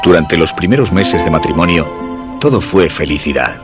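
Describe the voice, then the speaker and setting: A curious, insistent husband in a Spanish radio drama, with a low Castilian voice. He recounts his romance in measured, literary phrases with expressive swings, over music. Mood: measured, reflective. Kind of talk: Spanish radio play narration and dialogue.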